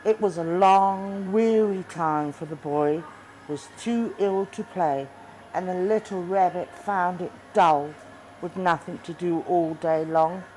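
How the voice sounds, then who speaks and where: Slow-paced woman reading a storybook. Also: fairly low pitch, darkish tone, slight background noise, low-quality recording. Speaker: storybook reader. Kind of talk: scripted storybook narration.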